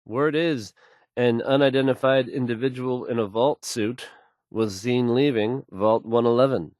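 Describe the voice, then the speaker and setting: A man acting a confident, smooth-talking, folksy old-time radio DJ for a video game. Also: mid-range voice, unhurried, a little mellow, no echo, close to an everyday voice. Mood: intrigued, confiding. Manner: assured, folksy, showman-like.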